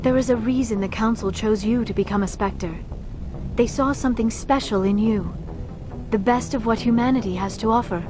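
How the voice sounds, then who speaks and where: A woman's voice: a little high, normal speed, lightly acted. An actress playing a reserved, earnest young alien woman in a video game, speaking formally and precisely. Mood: earnest, thoughtful. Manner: formal, precise, few contractions. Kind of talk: video game dialogue acting. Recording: loud background music.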